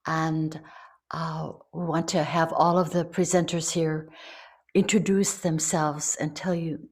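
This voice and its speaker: A woman hosting an online ceremony, welcoming people in a calm, deliberate way over a video call. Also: low-pitched. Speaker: ceremony host. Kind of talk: slow welcoming address.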